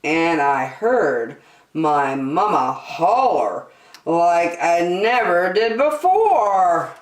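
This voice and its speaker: Slow, animated woman reading to children, echoey. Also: on the low side. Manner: slow, animated delivery addressed to young children. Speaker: children's storytime host and reader. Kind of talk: sing-song picture book read-aloud for children. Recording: roomy echo, distant microphone.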